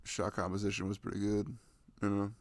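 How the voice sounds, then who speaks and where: A young man playing a sweet, playful boyfriend in an intimate ASMR roleplay, rambling softly and casually close to the microphone. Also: on the low side, monotone, a little fast, no room sound, natural voice. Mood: chatty, amused. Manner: soft, rambling, frequent chuckles and hums. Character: sweet, playful, affectionate boyfriend cuddling his partner.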